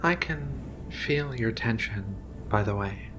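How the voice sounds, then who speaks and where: A man speaking softly and reassuringly in an ASMR roleplay as a gentle, philosophical alien researcher. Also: fairly low pitch, expressive, music in the background. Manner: soothing, unhurried, reassuring. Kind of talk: soft-spoken ASMR roleplay.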